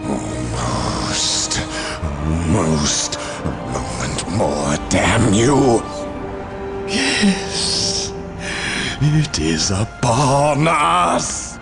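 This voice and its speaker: Slow, gravelly megalomaniac sorcerer. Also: male voice, low voice, very animated, exaggerated voice. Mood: strained impatience. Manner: drawn-out, grandiose declaiming. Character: megalomaniac male dark sorcerer, gloating, power-mad. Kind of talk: dramatic video game villain acting. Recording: loud dramatic music behind voice.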